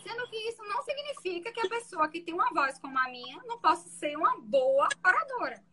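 A woman defense lawyer with a soft voice, explaining her courtroom methods in an interview. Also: extremely high pitch, very animated, brisk, very noisy.

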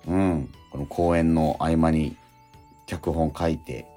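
A man hosting a radio show, talking and making announcements in a halting, deliberate way. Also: extremely low pitch, fairly even intonation, mellow, soft background music. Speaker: radio show host and scriptwriter.

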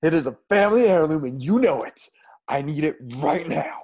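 A man's voice: on the high side, expressive, a little fast, a little mellow, slight background noise. A man voicing a nervous, stammering young man in an improvised audio comedy. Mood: defiant. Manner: stammering, flustered.

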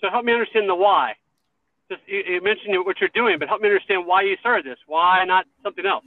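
A man's voice: high-pitched, fast talker, no echo, narrow, tinny audio. A man hosting a radio interview show, friendly and self-deprecating with his guests. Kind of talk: interview host.

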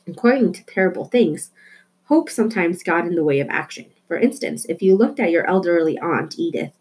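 A woman with a slightly husky voice reading a children's novel aloud as scripted narration. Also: fairly low pitch, expressive, fairly quick, a little mellow. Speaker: storybook reader.

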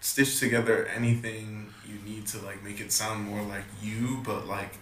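A male podcast host with a lowish voice who chats easily and chimes in with quick agreement. He sounds like he is in a reverberant room with light background hiss. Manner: relaxed, agreeable, short replies. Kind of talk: casual conversation. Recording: echoey room, faint noise.

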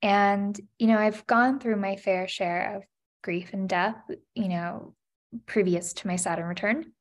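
A woman's voice: mid-range voice, steady tone, a little slow. A woman in her thirties, a podcast guest, sharing a personal story in an earnest, reflective way, with many "you know" and "like" fillers.

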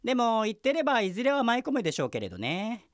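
A man plays a junior police detective in a comic audio drama, with a high, very lively voice recorded dry and close. He is sarcastic and exasperated, polite on the surface with insults slipping out. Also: very fast, dry recording, exaggerated voice. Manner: dutiful politeness breaking into muttered insults. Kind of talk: comic radio drama acting.

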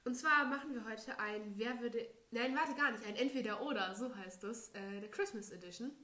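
A woman's voice: a little high, normal speed, sharp tone. A teenage girl with a stuffy nose from a cold, co-hosting a podcast in casual chat, chatty with lots of fillers. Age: teens. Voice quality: nasal. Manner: chatty, giggly, many fillers. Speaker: podcast co-host. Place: friend's home. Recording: echoey room.

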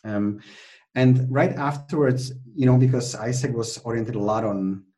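A man's voice: medium pitch, a little slow, warm tone. A man from the Czech Republic with a Czech accent, a podcast guest chatting animatedly with many fillers and restarts. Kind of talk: conversational interview.

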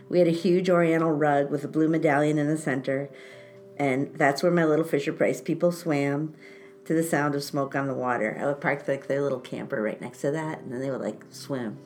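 Low-voiced wry woman storyteller. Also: darkish tone. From born in Italy, grew up in France. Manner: wry, self-mocking, laughs often. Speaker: podcast host telling personal stories. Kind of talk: casual storytelling chat.

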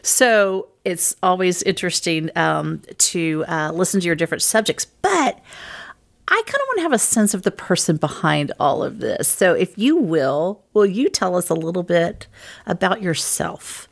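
A woman's voice: lowish voice, animated, normal speed, gravelly. A woman from Louisiana and Texas who hosts a show, interviewing a guest over a video call in a chatty way. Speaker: show host.

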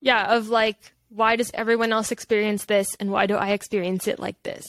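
A woman's voice: mid-range voice, level tone, fairly quick, a bit bright, no echo. A woman from Canada, talking candidly and reflectively in a casual interview, rambling with lots of filler "like". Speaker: podcast guest, holistic nutritionist.

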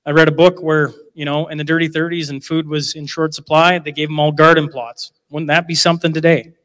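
A man moderating a live panel in a large hall, talking in a loose, chatty way with asides and jokes. His voice sits a bit high for a man, and it carries with a long reverb tail through the room. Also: little pitch movement, brisk, a bit bright. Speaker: event moderator.

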